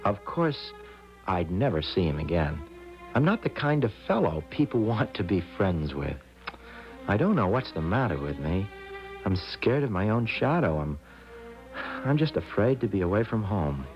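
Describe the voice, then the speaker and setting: A man's voice: lowish voice, big pitch swings, moderate pace. An actor playing a nervous, easily flustered young American traveler in a radio drama, stammering and fretting as he talks. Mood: timid, glum. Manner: stammering, hesitant, fretful. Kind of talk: theatrical radio drama acting with first-person narration. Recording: dull vintage radio recording with music.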